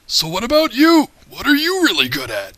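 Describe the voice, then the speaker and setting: High, crotchety old blacksmith. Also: male voice, wildly swooping pitch, normal speed, sharp tone, dry recording, heavily acted. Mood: folksy, musing. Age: older. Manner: folksy, rambling advice-giver. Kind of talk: comedic cartoon dub parody.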